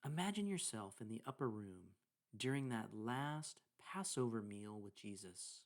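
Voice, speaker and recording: A man leading a guided meditation, speaking deliberately in short phrases with pauses between them. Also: lowish voice, expressive. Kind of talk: guided meditation narration with scripture reading.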